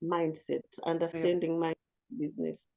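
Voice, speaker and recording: Low-voiced talkative woman. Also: completely flat delivery, moderate pace, gravelly, darkish tone, highs cut off. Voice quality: low, commanding voice. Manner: talkative, reflective. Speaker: group facilitator. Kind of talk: casual group discussion.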